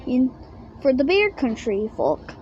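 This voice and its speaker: A woman with a high-pitched voice reads a children's picture book aloud at a slow pace. The reading is halting, with stumbles and misread words. There is faint noise in the recording. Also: very animated, darkish tone, lo-fi sound. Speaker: storybook reader.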